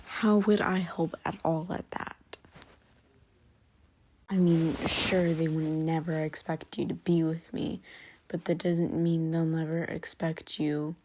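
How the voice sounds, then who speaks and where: A woman acting an irritable, aloof lone female werewolf in an ASMR roleplay, curt and scornful, her soft voice often slipping into whispers. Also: deep voice, level tone, slow pace, a little hiss or hum. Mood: cold, irritated. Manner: curt, scornful, exasperated sighing.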